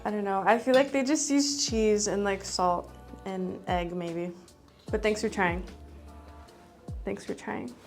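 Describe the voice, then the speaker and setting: A woman's voice: mid-range voice, unhurried, pauses often, soft background music. A young woman in her twenties from Los Angeles, chatting casually and bubbly to viewers as a contestant. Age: 20s. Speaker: contestant, esthetician. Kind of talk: casual chat to camera.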